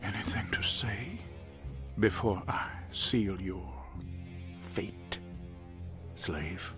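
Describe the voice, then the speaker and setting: Fanatical commander villain, unhurried delivery, sneering, pompous, menacing, light background music. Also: male voice, fairly low pitch. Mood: menacing, contemptuous. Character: cruel, contemptuous American collaborator commander, a fanatical villain. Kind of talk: video game villain monologue.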